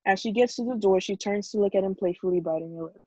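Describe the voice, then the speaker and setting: A woman's voice: mid-range voice, quick pace, dark tone, no room sound. A woman narrating a script at a table read, reading out stage directions over a video call. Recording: dull lo-fi video call audio.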